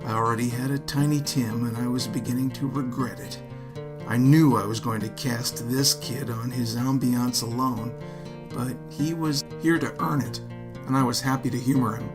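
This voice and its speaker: A man's voice: mid-range voice, fairly even intonation, normal speed. A man narrating a scripted first-person story in a wry, wordy, theatrical manner. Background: plucked guitar music.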